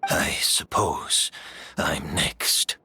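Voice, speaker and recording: A man playing a gentle, scholarly teacher in a video game, speaking in a calm, measured, resigned way. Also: rumbling low, a little hiss or hum, full character voice. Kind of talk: video game character acting.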